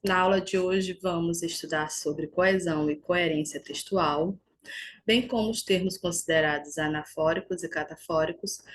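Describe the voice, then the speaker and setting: A woman teaches a recorded grammar class, talking through slides one example at a time. Her voice sits at an ordinary middle pitch, and she explains patiently, sometimes restarting a phrase as she goes. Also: slight background noise. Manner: didactic, explains slowly with small restarts. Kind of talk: recorded online grammar lesson over slides.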